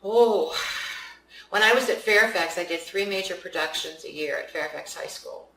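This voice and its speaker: A woman's voice: lowish voice, moderate pace, bright, forceful tone. An older woman from Virginia, chatting as an interview guest and telling stories with frequent laughs. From West Virginia. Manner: chatty storyteller, laughs often. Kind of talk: casual interview conversation. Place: studio. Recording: roomy studio sound, mic at a distance.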